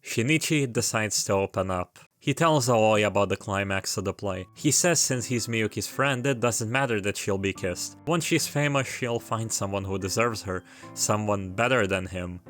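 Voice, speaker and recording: A man's voice: fairly low pitch, moderate pace, fairly bright tone. A man giving a casual retrospective narration as a video essayist, with wry asides and rambling commentary. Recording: music behind the voice. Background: background music.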